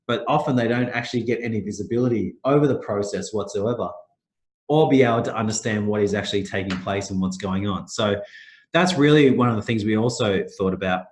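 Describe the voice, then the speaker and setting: A man's voice: fairly low pitch, fairly quick. Nasal, under-the-weather man presenting. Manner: loose, repetitive phrasing with many restarts and fillers. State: nasal, sick with a sore throat. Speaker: company managing director presenting a webinar. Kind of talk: webinar presentation.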